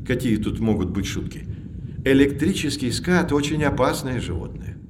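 An actor playing a learned professor who explains things articulately to children in an educational audio drama. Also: male voice, mid-range voice, lively intonation, moderate pace, noisy background, lightly acted. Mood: explanatory. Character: learned male professor guiding children on sea voyage.

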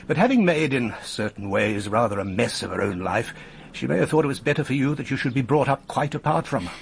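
An actor playing a genial, shrewd older English solicitor in a radio drama, measured and courteous in manner. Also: male voice, medium pitch, animated, gravelly, slight background noise.

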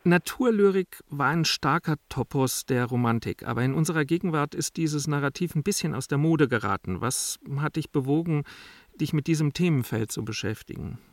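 A man's voice: on the high side, moderate pace, no echo. A man presenting on radio, with scripted introductions and interview questions.

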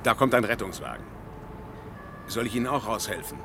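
A man's voice: on the low side, lively intonation, fairly quick, vocal fry, sharp tone, a little hiss or hum, exaggerated voice. A man playing an authoritative, indignant doctor in a radio play, speaking emphatically and commandingly. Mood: concerned, brisk. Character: indignant, authoritative male doctor among plane passengers. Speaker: radio drama actor. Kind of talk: German radio play acting.

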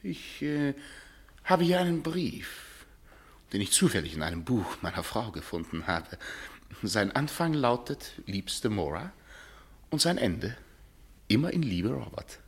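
An actor playing a haughty, suspicious rich husband in a German radio crime drama, sardonic and condescending, speaking in deliberate phrases. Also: male voice, mid-range voice, very animated, breathy edge, heavily acted. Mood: insinuating.

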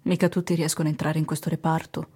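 An actress playing a caring, steady hospital worker and mother in a radio reading, speaking softly and consolingly. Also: deep voice, fast, slightly rough. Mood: consoling, weary.

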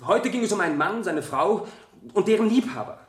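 An actor playing a scornful, fanatical witness who lectures the court with heated contempt in a radio play. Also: male voice, high voice, expressive, brisk. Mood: bitter, contemptuous. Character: scornful, fanatical political witness, contemptuous of everyone around him. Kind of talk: 1970 German radio play acting. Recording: roomy, echoing studio courtroom.

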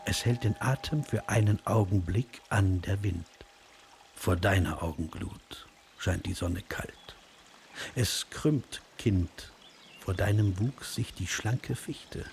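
Slow, gravelly, ageing passionate poet. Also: male voice, low voice, slight background noise, heavily acted. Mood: yearning. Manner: declamatory, lyrical, drawn-out delivery. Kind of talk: poetic German radio drama recitation. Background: music.

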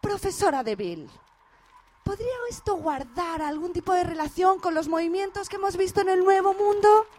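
Woman acting high-voiced, formal female radio reporter. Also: lively intonation, normal speed, a little mellow. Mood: urgent, formal. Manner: formal, announcer-like. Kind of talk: parody radio drama acting.

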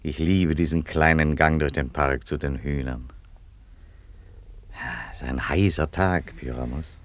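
Roman emperor for radio satire: bass register, serene, genial, eccentric, unhurried, dry acoustic. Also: male voice, steady tone, dry recording. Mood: placid, fond. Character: placid, eccentric Roman emperor devoted to his hens. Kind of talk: satirical radio play acting.

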